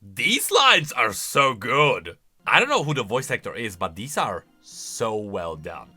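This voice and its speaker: Animated, bright-voiced man commentating. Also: mid-range voice, unhurried, husky, soft background music. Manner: animated, opinionated. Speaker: gaming lore commentator. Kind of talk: casual reaction commentary.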